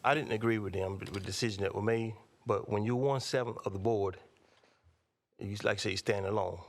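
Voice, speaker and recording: A man in his fifties speaks at a candidate forum, steady and plainspoken. His voice sits on the low side. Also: a little fast. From North Carolina, USA. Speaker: candidate for county commissioner.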